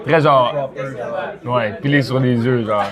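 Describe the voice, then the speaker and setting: A man's voice: medium pitch, steady tone, slow, a crowd in the background. A young man from Iran, chatting casually and mixing in English slang while tasting food. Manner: slangy, mixes English into his French. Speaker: streamer host.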